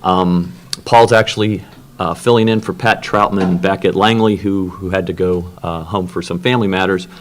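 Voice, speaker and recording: A man's voice: sits low, fairly even intonation, moderate pace, slight background noise. A man chairing a technical workshop in a conference room, opening the session with many fillers and restarts. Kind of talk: opening remarks at a technical meeting.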